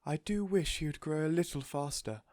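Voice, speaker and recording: A man's voice: on the high side, fairly quick, a little mellow, dry recording, voice pushed up, close to an everyday voice. A man voicing a sweet, gushing woman who dotes on her pet, in a storybook reading. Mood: fretting. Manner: gushing, effusive, exclaiming. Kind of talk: storybook character voice in audiobook reading.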